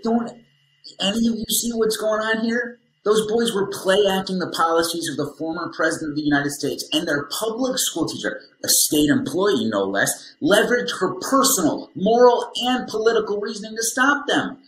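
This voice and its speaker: A man's voice: high-pitched, lively intonation, moderate pace, fairly bright tone. A man in his thirties playing an argumentative, indignant school parent in a scripted dramatization. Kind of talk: scripted dramatization on video call. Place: small room, video call. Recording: roomy laptop call, light noise.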